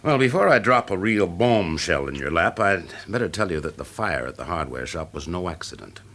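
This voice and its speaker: An actor playing a shrewd, wry fire investigator with a British accent in a classic radio drama, explaining things drily. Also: male voice, sits low, sing-song, a little fast, a bit bright, full character voice. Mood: confident, wry. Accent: British English.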